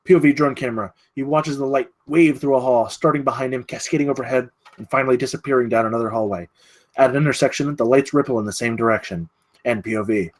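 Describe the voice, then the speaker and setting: A man narrates screenplay action in short, clipped phrases. His pitch sits in an average male range, and he gives the stage directions a dramatic push. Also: quick pace. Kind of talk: scripted narration at a screenplay table read.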